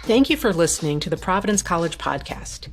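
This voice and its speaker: A woman's voice: lowish voice, expressive, fairly quick. A woman podcast host reading a scripted announcement. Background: music.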